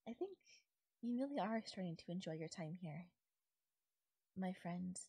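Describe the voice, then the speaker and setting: A woman acts out a doting yandere fairy for an ASMR roleplay. The voice is breathy and very close and dry, with a sweet, possessive lilt that can sink toward a whisper. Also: on the low side, animated, a little slow, mellow, no room sound, full character voice. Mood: tender, cheerful. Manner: sweet, breathy, lilting, sometimes dropping to a whisper. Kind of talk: intimate ASMR audio roleplay.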